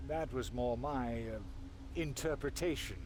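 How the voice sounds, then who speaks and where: An actor playing a sly, mocking, self-serving trickster from a shadow realm in a fantasy video game, with a teasing, theatrical drawl. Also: male voice, mid-range voice, measured pace, lightly acted. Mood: conspiratorial, persuasive. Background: music.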